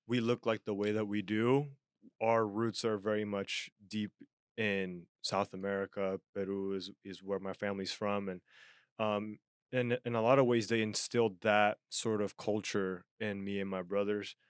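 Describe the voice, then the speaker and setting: A man's voice: fairly low pitch, clean tone, no echo, studio quality. A young man from Japan co-hosting a podcast, talking earnestly in a casual chat with frequent restarts. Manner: earnest, animated, many restarts. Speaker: podcast co-host.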